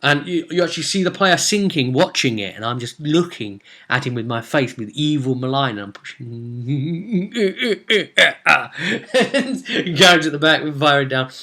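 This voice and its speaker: A young man chats casually to camera at home, telling a game story. His voice sits at an average pitch, and he rambles along in an animated way, acting out short bits of dialogue as he goes. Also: normal speed, hardly any pauses. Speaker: vlogger recapping his tabletop role-playing session. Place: small home room, indoors.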